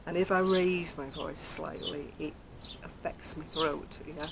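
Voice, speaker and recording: Soft-voiced older woman chatting outdoors. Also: sits low, fairly even intonation, unhurried, vocal fry, background noise. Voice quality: very soft, quiet voice after throat surgery. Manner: chatty, rambling, self-mocking, laughs softly. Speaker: craft vlogger. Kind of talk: casual chat to camera. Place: outdoors on a decking by a brick wall. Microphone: camera in front at close range.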